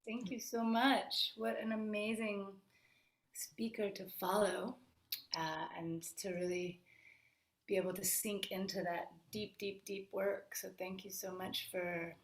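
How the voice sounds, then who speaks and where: A woman's voice: mid-range voice, fairly even intonation, a little slow. A woman from Atlanta, talking earnestly on a video call from her family home and repeating words as she gathers her thoughts. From Atlanta, Georgia. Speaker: musician guest. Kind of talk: casual talk on a video call. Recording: roomy home echo with faint guitar.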